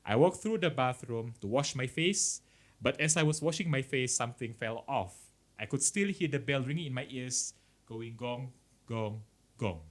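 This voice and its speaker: A man's voice: mid-range voice, normal speed. A Malaysian man co-hosting a podcast, casually reading out stories and chatting, mixing English with Malay. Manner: mixes English with Malay, explaining as they go. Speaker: podcast co-host. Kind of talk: casual chat, reading out listener horror stories.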